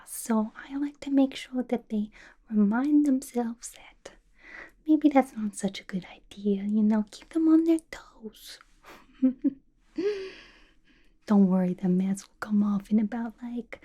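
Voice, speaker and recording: Casting: woman acting as a mischievous young villainess inmate for ASMR roleplay, breathy whisper, soft mellow tone, gossipy and manic. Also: on the high side, unhurried. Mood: playful, teasing. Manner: playful, slangy, conspiratorial gossiping. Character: manic, chatty, mischievous young female villain locked in an asylum.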